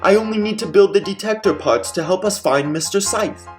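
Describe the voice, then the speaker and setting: A man playing an excitable, bossy mad scientist in an old-time radio comedy mystery. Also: high-pitched, brisk, faint music behind, voice pushed up, lightly acted. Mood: urgent, excited. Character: male mad scientist Doctor Frankengrain, excitable, bossy procrastinator.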